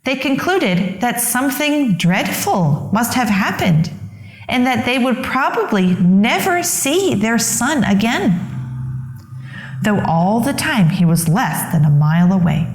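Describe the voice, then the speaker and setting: A woman reading a children's picture book aloud as a storyteller, with deliberate, expressive phrasing. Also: a little high. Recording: echoey room.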